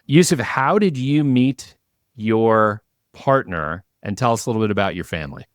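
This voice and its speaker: A man's voice: lowish voice, expressive, moderate pace, no echo, studio quality. A man hosting a podcast and interviewing guests in a conversational, presenterly way.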